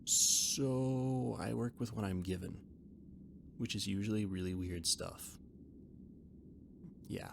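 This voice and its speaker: Over clearly audible background noise, a shy catboy spaceship mechanic played by a man talks in a low voice with plenty of gravelly fry. He rambles and stammers in a conversational, gently defensive way, as in an intimate roleplay audio drama. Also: fairly even intonation, slow, pauses often. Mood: rambling, explanatory. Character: shy, rambling male alien catboy ship mechanic and cook.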